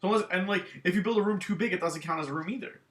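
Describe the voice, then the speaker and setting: A man's voice: sits high, racing, a bit bright. Rambling man in an echoey room. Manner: rambling, many fillers like "um" and "like". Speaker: gaming channel co-host. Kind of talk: casual chat about video games.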